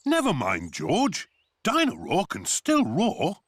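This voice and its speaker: A jolly, boastful cartoon daddy pig. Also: male voice, high-pitched, huge pitch swings, takes it slowly, no echo, heavily acted. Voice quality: warm, chuckling, fatherly. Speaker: cartoon voice actor. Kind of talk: children's cartoon voice acting.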